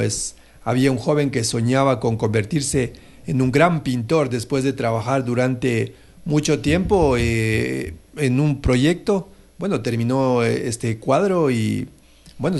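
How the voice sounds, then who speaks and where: Male radio host, gravelly, hesitant storyteller. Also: mid-range voice, darkish tone. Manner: hesitant, many fillers and restarts. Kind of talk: casual on-air talk with a moral story.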